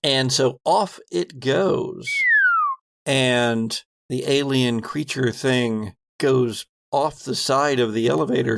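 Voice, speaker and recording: A man narrating a tabletop game as game master, improvising in a deliberate, halting, dramatic way. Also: mid-range voice, gravelly, no echo.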